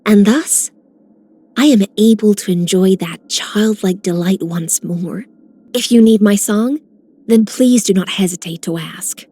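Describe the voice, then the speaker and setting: A woman's voice: mid-range voice, measured pace, dark tone, breathy edge. A woman voicing an elegant, languid siren songstress in a video game, lyrical, courtly and wistful. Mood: wistful, fond. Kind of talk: scripted game character lines.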